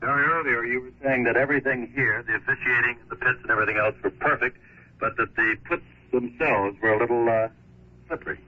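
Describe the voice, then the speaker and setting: A man's voice: mid-range voice, fairly even intonation, a little fast. An American man working as a sports interviewer on 1950s radio. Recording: dull, noisy 1950s radio broadcast.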